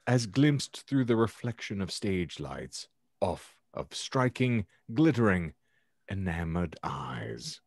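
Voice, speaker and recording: A man reading fiction aloud in a theatrical, ornate storytelling style with dramatic pauses. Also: low voice, husky, no echo, studio quality. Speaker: podcast host reading aloud his own fan fiction. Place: indoor room at home, video call.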